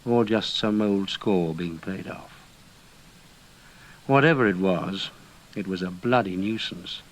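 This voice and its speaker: An actor playing a brisk, weary British intelligence officer in a radio drama, with terse, clipped questioning. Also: male voice, deep voice, a little hiss or hum, low-quality recording. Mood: brooding narration. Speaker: investigator and first-person narrator.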